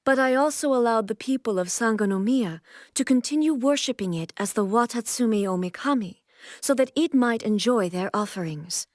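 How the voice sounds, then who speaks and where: A woman's voice: on the high side, animated, darkish tone, no echo, studio quality, natural voice. A woman playing a stern, regal deity ruler in a video game, speaking in a formal, composed, stately way. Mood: solemn, commanding. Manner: formal, stately, measured delivery. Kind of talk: scripted video game character voice lines.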